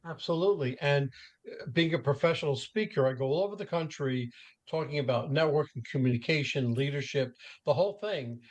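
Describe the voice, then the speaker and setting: A man's voice: a little high, fairly quick. A man hosting an interview podcast, asking open questions and affirming his guest's points. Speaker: host, professional speaker. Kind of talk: conversational interview.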